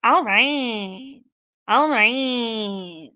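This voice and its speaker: A young woman with a raspy voice hosting a casual live stream, reading comments and giving blunt, profane opinions with frequent laughs. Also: a little high, extremely slow, bright, forceful tone, dry recording.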